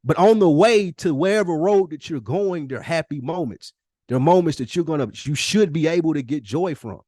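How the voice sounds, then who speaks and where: A man's voice: on the high side, expressive, fairly quick, a little mellow, dry recording, studio quality. A middle-aged man talking casually on a podcast as co-host, motivational and emphatic, repeating phrases for weight and using profane slang.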